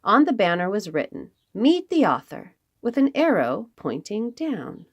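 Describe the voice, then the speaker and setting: A woman reading aloud to young children as a storytime host, expressive, with dramatic emphasis and pauses. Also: medium pitch.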